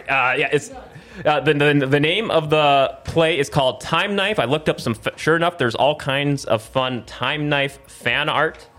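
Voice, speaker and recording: A man hosting a casual talk show, excitedly rambling with stammers and slipping into hammy script narration. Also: on the high side. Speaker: show host. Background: suspenseful crime music playing in the background.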